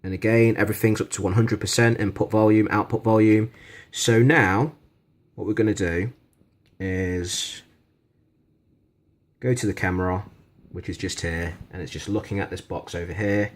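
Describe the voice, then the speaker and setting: A man's voice: lowish voice, fairly even intonation, normal speed, long pauses. A man giving a casual, frankly critical product review and demonstration indoors in a conversational way. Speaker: gadget reviewer. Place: indoors in a kitchen.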